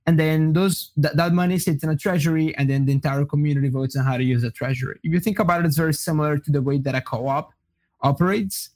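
A young man from Colombia, a native Spanish speaker, answering interview questions in a relaxed way with many fillers and restarts. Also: fairly high pitch, fast talker. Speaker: guest. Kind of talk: casual interview.

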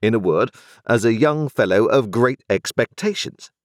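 Brusque formal lawyer voiced by a man, clipped, interrogating, lively rising pitch, dry close sound. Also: moderate pace, dry recording, lightly acted. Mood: stern, formal. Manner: clipped, formal, cross-examining. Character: brusque, overbearing middle-aged male lawyer. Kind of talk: audiobook character voice.

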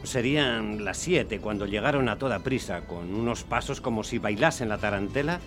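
An actor playing a superstitious, aggrieved taxi driver who tells his story as an animated, complaining radio monologue. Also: fairly low pitch, normal speed, over music, natural voice. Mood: wry storytelling. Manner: animated complaining, rhetorical questions to the listener. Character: aggrieved, superstitious male Roman taxi driver telling his bad day. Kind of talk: dramatic monologue in radio theatre.

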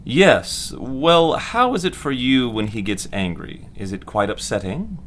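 A man's voice: medium pitch, very animated, a little slow, fairly bright tone, a little hiss or hum. A man playing a pompous, patronizing doctor lecturing students formally in a 1940s-style audio drama. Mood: coaxing, patronizing. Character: pompous male doctor lecturing medical students, clinically smug.

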